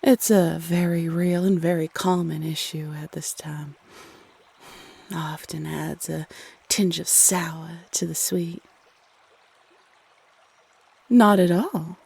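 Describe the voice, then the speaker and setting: Woman acting a husky, sultry teasing Cupid. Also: fairly low pitch, fairly even intonation, a little mellow, exaggerated voice. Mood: teasing, knowing. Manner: sultry, coy, teasing, drawn-out phrasing. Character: teasing, flirty female Cupid spirit, playfully condescending to humans. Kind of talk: intimate roleplay audio acting.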